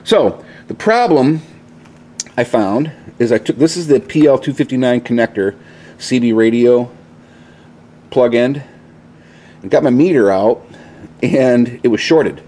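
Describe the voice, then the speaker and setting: A man's voice: mid-range voice, lively intonation, a little slow, pauses often, dark tone. A man hosting a casual how-to vlog, explaining things step by step in an informal, mildly salty way, recorded on a handheld camera in a garage. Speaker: DIY video host. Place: inside a home garage, at a pickup truck. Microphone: handheld camera, held by the speaker.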